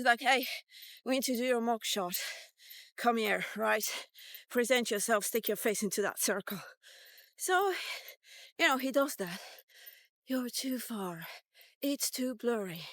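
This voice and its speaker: A woman's voice: a little high, fairly bright tone, no echo. A woman in her fifties rambling through a casual vlog rant in a sarcastic, sweary, conversational way. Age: 50s. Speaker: vlogger. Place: outdoors on a walk.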